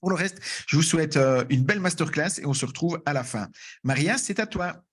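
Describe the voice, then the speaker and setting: A man hosting an online masterclass over a video call, speaking haltingly with many fillers and restarts. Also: a little high, lively intonation, a bit bright, husky. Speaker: webinar host.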